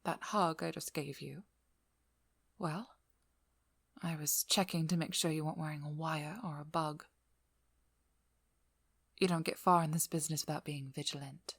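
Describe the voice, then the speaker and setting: A woman playing a devious, vigilant vampire hunter in a scripted ASMR roleplay, teasing and controlling, with a breathy voice that drops into whispers. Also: low-pitched, steady tone, normal speed, pauses often, no room sound, studio quality. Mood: cool, probing. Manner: teasing, playful, coolly controlling. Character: devious, vigilant female vampire hunter, flirty then businesslike. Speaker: audio roleplay performer.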